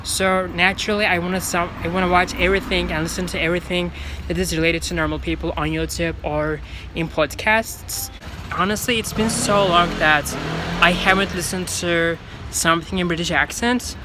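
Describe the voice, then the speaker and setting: A man's voice: sits high, steady tone, normal speed, background noise. Earnest Turkish-accented man giving advice. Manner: earnest, instructive, repeats himself to stress points. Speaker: English learning vlogger. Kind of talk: explaining study tips to viewers.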